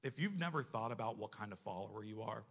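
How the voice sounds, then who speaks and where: A man's voice: lowish voice, expressive, fast talker, fairly bright tone. A man preaching a sermon to a congregation in a conversational, self-deprecating way, often posing rhetorical questions. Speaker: church staff member preaching.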